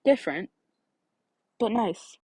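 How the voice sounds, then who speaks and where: A woman's voice: medium pitch, animated, slow, dark tone, husky, no echo. A woman reading a scripted story aloud as an audiobook-style narrator. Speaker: audiobook-style fan fiction reader.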